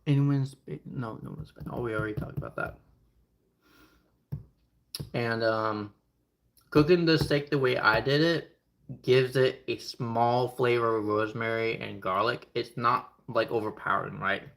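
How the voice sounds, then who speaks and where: A man's voice: mid-range voice, steady tone, a little slow, vocal fry, fairly bright tone. A young man whose first language is Ukrainian/Russian, chatting casually with viewers as he cooks on stream, rambling between tasks. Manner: rambling and chatty, answering chat mid-task. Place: home kitchen. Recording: echoey kitchen with faint noise.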